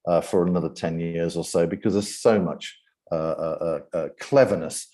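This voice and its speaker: Low, mellow British man presenting. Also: steady tone, moderate pace. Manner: hesitant, often repeating words before going on. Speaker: event host presenting his AI ethics program. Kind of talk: unscripted presentation talk.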